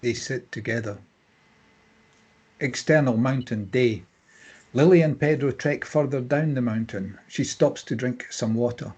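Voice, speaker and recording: A man with a slightly husky voice narrating stage directions from a screenplay at a table read. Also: medium pitch, a little slow, darkish tone, lo-fi sound.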